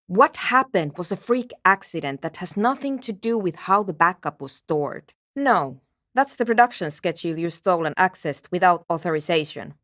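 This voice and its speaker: A woman's voice: on the low side, expressive, normal speed, fairly bright tone, dry recording. An actress playing a curt, skeptical, world-weary woman programmer in a video game, speaking in a brusque, dry, dismissive way. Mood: terse, absorbed. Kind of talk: video game character dialogue.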